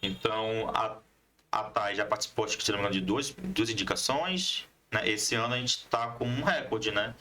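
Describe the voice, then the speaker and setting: Bright-voiced northern Brazilian man, fairly low. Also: a little fast. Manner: explanatory, repeats "né" as a tag. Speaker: podcast guest, comics collective member. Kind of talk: casual podcast conversation.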